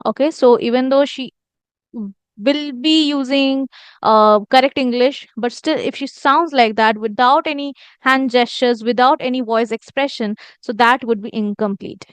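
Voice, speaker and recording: A woman with a high, crisp voice teaches spoken communication. She explains step by step with emphatic stress, repeating key phrases. The sound is dry and close, with very little room. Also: moderate pace, dry recording. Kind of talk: instructional lesson with demonstrated lines.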